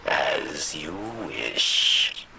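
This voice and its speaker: Old alien game character: sly and regretful, very slow halting rasp, extremely low, noise behind. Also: male voice, steady tone, full character voice. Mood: formal urging. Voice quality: gravelly, rasping. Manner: halting, third-person speech, questioning "yes?" tags. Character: old insect-like alien scribe Variks, sly, weary, regretful. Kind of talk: dramatic video game acting.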